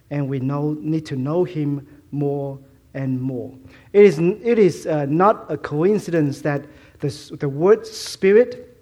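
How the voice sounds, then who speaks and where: A middle-aged man from Malaysia preaching a sermon in English, with a mixed Malaysian, Hong Kong and Fuzhou accent, halting with many fillers and restarts and self-deprecating humor. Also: fairly high pitch, dark tone. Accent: Malaysian Chinese English, mostly Fuzhounese-tinged.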